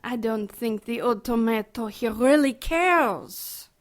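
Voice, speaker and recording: Slow-talking, cynical, sarcastic nun. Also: female voice, fairly high pitch, expressive. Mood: sardonic. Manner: blunt, sarcastic, grumbling. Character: cynical, callous nun tending a patient. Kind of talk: audio drama acting.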